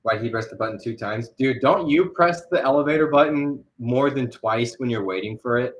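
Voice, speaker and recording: A man's voice: mid-range voice, moderate pace. A young man chatting casually on a livestream while he animates. He rambles, with lots of fillers and restarts.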